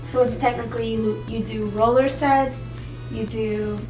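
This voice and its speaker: A woman's voice: on the high side, fairly even intonation, a little slow. An eighteen-year-old woman speaking casually and emphatically in an interview. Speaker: cosmetology student. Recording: roomy echo, distant mic, clear music.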